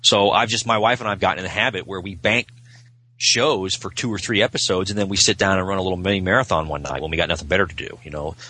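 A man's voice: sits low, fast talker, pushed and bright, slight background noise, rough recording. A middle-aged man chatting casually and talkatively about TV in an informal way. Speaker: podcast guest.

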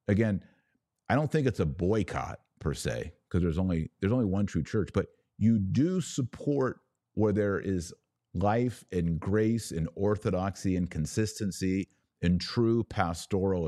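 A man with an American accent and a low voice speaks in a very dry acoustic. He hosts a live religious podcast, taking questions from viewers. His delivery is didactic and emphatic, spelling out each point like a teacher. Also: expressive, unhurried, mellow, no room sound.

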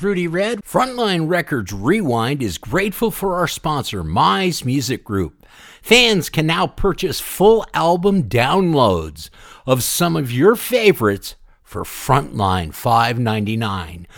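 Male podcast host, animated pitch, casual and jokey, close dry sound. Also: no echo. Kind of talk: casual music interview and sponsor read.

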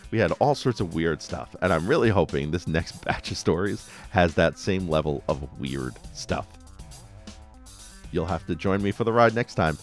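A man's voice: low voice, lively intonation, moderate pace, faint music behind. A man hosting a podcast solo, talking casually in fan commentary. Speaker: podcast host.

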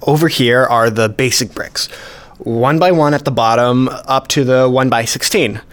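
A man's voice: medium pitch, moderate pace, fairly bright tone, close to an everyday voice. An actor playing a modest, precise male hobbyist collector, explaining things methodically in a radio play. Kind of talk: scripted dialogue, explaining.

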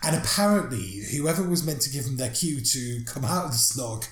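A man co-hosting a relaxed podcast conversation. His pitch rises and falls a lot, and he laughs readily between facts. His voice carries a roomy echo. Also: fairly quick. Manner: chatty, laughs often, stumbles over foreign names. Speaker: podcast co-host. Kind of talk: casual chat.

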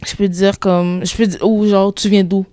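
A woman's voice: medium pitch, flat delivery, normal speed, dark tone, no echo. A seventeen-year-old girl from Quebec with a Québécois accent, speaking candidly in a casual group discussion and slipping in English words. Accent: Québécois French. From Quebec, Canada. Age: teenager, 17. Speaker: student guest.

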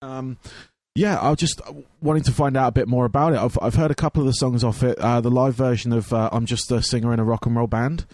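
Hoarse-voiced man hosting a podcast. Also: mid-range voice, fairly even intonation, a little fast, hardly any pauses, darkish tone, dry recording. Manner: rambling, many ums and restarts. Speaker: radio and podcast host, DJ. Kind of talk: casual chat.

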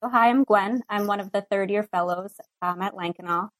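A woman's voice: mid-range voice, a little fast, no echo. An American woman in her thirties chatting casually as a guest on a medical podcast. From Philadelphia, United States. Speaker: cardiology fellow, podcast guest.

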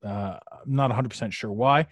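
Male podcast host, middle pitch, dry close sound, loose rambling delivery full of fillers. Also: normal speed, dry recording. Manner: rambling, repeats himself, many fillers. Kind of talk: casual live podcast chat. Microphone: podcast mic on a live stream.

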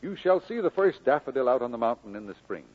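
Kindly preacher, husky lively delivery, firm encouragement, thin vintage radio drama sound. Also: male voice, mid-range voice. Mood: encouraging. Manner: measured, exhorting. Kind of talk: 1940s radio acting. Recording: narrow, phone-like old radio recording.

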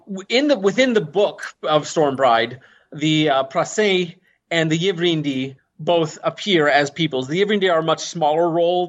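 A man being interviewed as an author and language inventor, talking thoughtfully with frequent restarts and fillers. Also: a little high. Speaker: author and conlanger, interview guest. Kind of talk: casual interview talk. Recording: muffled, dull podcast audio.

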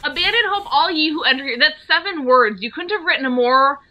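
A woman's voice: high voice, lively intonation, a little fast, pushed and bright, a little hiss or hum. A woman co-hosting a movie podcast, wry and incredulous as she picks at details. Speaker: podcast co-host. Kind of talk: casual movie review chat.

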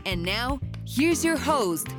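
Woman, scripted intro, measured, slow, lively pitch, loud music bed. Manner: measured, promotional read. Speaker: show announcer.

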